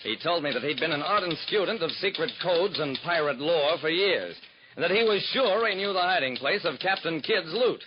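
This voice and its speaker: A man plays a wry, hard-boiled private detective telling his case in first person, his voice rising and falling in lively swings. The sound is dull and lo-fi with a faint hiss, like a 1940s radio transcription. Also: on the high side, moderate pace, lightly acted. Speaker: narrator. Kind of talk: 1940s radio drama narration.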